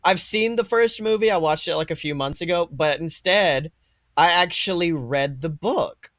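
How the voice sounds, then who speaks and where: A young man in his twenties with a fairly high voice, recorded dry and close. He talks casually as a podcast co-host, swearing freely and telling stories with irreverent emphasis. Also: moderate pace, fairly bright tone, no echo. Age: 20s. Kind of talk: casual chat, animated storytelling.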